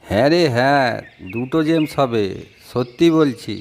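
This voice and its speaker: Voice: mid-range voice, moderate pace, darkish tone, a little hiss or hum, close to an everyday voice. An actor playing an indulgent, teasing old grandfather in a cartoon. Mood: mock stern. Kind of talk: cartoon voice acting.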